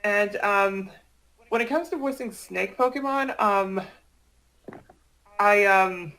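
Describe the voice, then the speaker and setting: A man explaining things in casual chat, haltingly, often repeating and correcting himself. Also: high-pitched, pushed and bright, low-quality recording.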